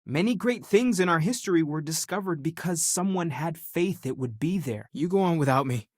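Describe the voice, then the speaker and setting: An earnest, idealistic male scholar who has turned space explorer in a video game. His voice sits a little high for a man, and he speaks in a thoughtful, articulate way. The sound is very dry, with no room around it. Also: expressive, moderate pace, dry recording. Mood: reassuring. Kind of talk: video game character dialogue.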